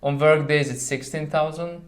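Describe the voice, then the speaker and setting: A man's voice: fairly high pitch, fairly even intonation, moderate pace. A man from Hungary with a Hungarian accent, chatting casually as an interview guest in a somewhat hesitant way. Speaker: podcast guest.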